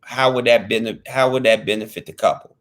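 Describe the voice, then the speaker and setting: A man's voice: medium pitch, fairly even intonation, a little fast, a bit bright. Guy in his 30s just talking it through, earnest, says things twice, loose slangy way of talking, voice sits mid range. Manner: earnest and repetitive, restating points with informal slang. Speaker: fitness vlogger. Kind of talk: casual talk to camera.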